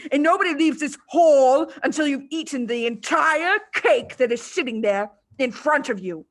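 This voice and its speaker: A woman voicing a furious, tyrannical headmistress in a storybook reading, shouting and barking orders. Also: high-pitched, pitched above the performer's own voice.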